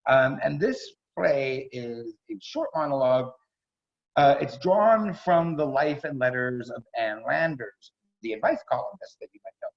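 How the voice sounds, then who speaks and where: A man's voice: medium pitch, expressive, a little slow. A man introducing a performer as host in a semi-formal, somewhat hesitant way. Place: indoors, remote video call. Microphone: video call microphone. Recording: dull video-call audio.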